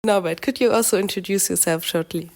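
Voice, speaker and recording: A woman hosting a podcast, polite and measured, her voice a little hoarse from a cold as she introduces the episode and asks questions. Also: on the low side, darkish tone. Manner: polite, measured, careful phrasing. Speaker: podcast host and interviewer.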